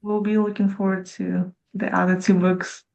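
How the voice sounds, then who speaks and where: A woman's voice: mid-range voice, level tone, moderate pace, gravelly, darkish tone. Woman interviewer on dull lo-fi audio. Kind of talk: interview questions.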